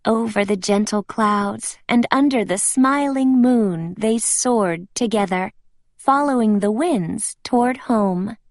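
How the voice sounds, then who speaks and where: A woman reading a children's storybook aloud with expressive, deliberate phrasing. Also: a little high, dry recording, studio quality.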